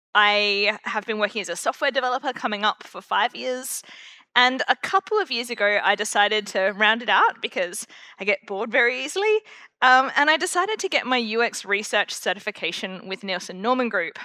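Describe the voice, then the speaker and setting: An Australian woman speaking at a conference, chatty and loose with plenty of ums. Her voice is bright and sharp, at an ordinary pitch for a woman. The sound is dry and close. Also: moderate pace, no room sound. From Perth, Western Australia. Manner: chatty, self-deprecating, many fillers. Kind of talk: conference talk. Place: conference room.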